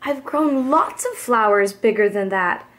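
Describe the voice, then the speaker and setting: A woman's voice: on the high side, lively intonation, measured pace, a bit bright. Woman as an earnest, pleading little boy. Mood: defensive. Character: earnest, ashamed young boy gardener.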